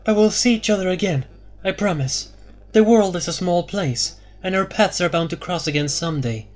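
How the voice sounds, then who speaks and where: Guy doing a young heroine voice, pitched high, earnest and pleading. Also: normal speed, lightly acted. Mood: tender. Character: urgent noble heroine, young woman.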